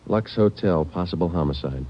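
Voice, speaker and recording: An actor playing a stoic, businesslike police detective, terse and deadpan, in old-time radio style. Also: male voice, sits low, fairly quick, a little hiss or hum. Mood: matter-of-fact. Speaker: lead actor and narrator of a radio crime drama. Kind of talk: 1940s-50s radio acting, clipped narration. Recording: muffled old radio broadcast, organ music.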